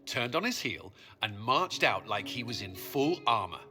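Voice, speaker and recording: A man acting as a fantasy-game military commander, dutiful and dryly humorous. He has a mid-pitched voice with animated rises and falls and a formal turn of phrase, over music. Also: normal speed, lightly acted. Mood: amused storytelling. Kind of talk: video game cutscene acting.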